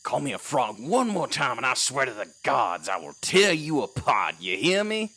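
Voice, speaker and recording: A man voicing a small, excitable frog-like druid in an audio drama. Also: mid-range voice, normal speed, full character voice. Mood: indignant. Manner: folksy, colloquial, animated.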